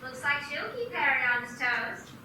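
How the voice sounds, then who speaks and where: A motherly woman nudging her son with teasing warmth, played by a woman. Her voice is high and lively. It sounds far off in a very echoey room. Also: moderate pace, a little hiss or hum, full character voice. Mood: coaxing. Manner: coaxing, teasing.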